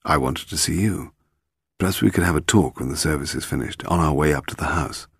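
A British man playing a calm, probing gentleman amateur detective in a measured, noncommittal way for an audiobook. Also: rumbling low, creaky, breathy edge, no echo, studio quality, exaggerated voice.

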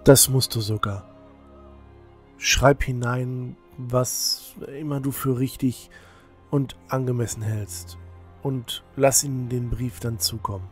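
A loyal young temple novice, acted by a man, in a fantasy audio drama. He talks slowly in a measured, dutiful way, with soft music behind him. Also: mid-range voice, steady tone, close to an everyday voice. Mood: supportive, earnest.

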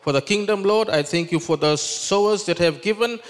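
A man in his thirties from Fiji gives a testimony to a church congregation and then prays earnestly and fervently. His voice is smooth and sits a little high. A handheld mic catches him in a church hall with a long reverb. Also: normal speed. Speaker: visiting Bible school leader. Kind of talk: testimony and closing prayer. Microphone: handheld microphone.

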